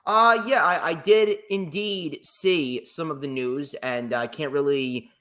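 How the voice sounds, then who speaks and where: A man's voice: on the high side, takes it slowly, bright, forceful tone. A young man co-hosting a casual wrestling podcast, swearing freely and arguing back with a laugh. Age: young adult. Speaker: podcast co-host and DJ.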